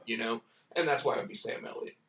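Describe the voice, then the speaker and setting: A man hosting a casual live stream, going hoarse and rambling with lots of fillers and restarts. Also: mid-range voice, fast, a bit bright, reverberant, recorded from a distance. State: losing his voice, hoarse. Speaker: comic book writer and stream host.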